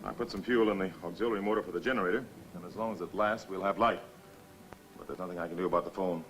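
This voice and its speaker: An actor in a 1960s film drama playing a blunt, pragmatic survivor who speaks firmly. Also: male voice, low voice, racing, a bit bright, soft background music. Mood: matter-of-fact. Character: blunt, pragmatic male survivor urging escape. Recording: noisy old 1960s film soundtrack.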